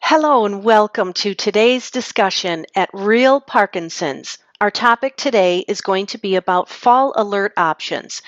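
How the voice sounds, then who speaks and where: A woman host opening a discussion with clear, measured presenter delivery. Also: medium pitch, no room sound. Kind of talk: scripted introduction. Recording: dry, dull-sounding recording.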